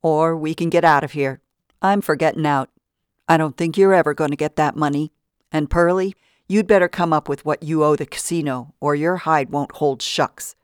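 A woman's voice: deep voice, moderate pace, clear, resonant tone, dry recording, clean studio sound, close to an everyday voice. A woman doing a cold menacing thug. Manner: cold, threatening, drawling.

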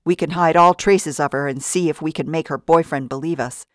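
A woman's voice: sits low, brisk, no room sound, clean studio sound, lightly acted. A woman narrator voicing Mick, a cold, threatening man. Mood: menacing. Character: cold menacing man thug.

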